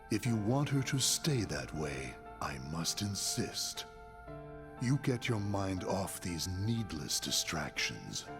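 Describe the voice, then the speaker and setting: A cold video game overlord with a very low, creaky voice. He speaks with measured authority, his pitch moving expressively over background music. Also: heavily acted. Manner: slow, measured, authoritative delivery. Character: cold, commanding male leader of a secret organization. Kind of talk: video game cutscene acting.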